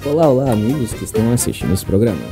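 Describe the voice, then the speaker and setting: A man's voice: mid-range voice, very animated, racing, mellow. A man in his thirties with a Brazilian accent co-hosting a casual podcast interview, explaining things conversationally. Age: 30s. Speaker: podcast co-host. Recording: music in the background.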